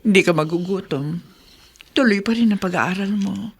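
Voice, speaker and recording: A woman's voice: medium pitch, normal speed, husky. A woman playing a remorseful, resigned but stubborn mother in a Filipino radio drama, with a Filipino accent. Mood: tender, apologetic.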